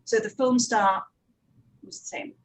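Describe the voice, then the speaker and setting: A woman presents on a panel with a fairly high voice. She talks informally and practically, like a working producer giving advice to colleagues. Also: steady tone, unhurried, a little hiss or hum. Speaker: panel speaker, audio drama producer.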